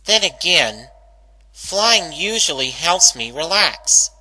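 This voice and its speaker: A man's voice: a little high, sing-song, slow pace, pushed and bright, pitched above the performer's own voice, heavily acted, low-quality recording. A man voicing an anxious young female cartoon pegasus pony, hesitantly arguing with herself in a dramatic story reading. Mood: nervous, hesitant. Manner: hesitant, self-arguing, dramatic inner monologue. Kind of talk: dramatic fan-fiction reading.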